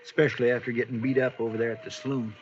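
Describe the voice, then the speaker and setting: An actor playing a loyal, uneasy deputy with a folksy in an old-time radio western. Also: male voice, medium pitch, flat delivery, very fast, close to an everyday voice. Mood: wary. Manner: deferential, folksy. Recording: muffled, noisy old radio recording.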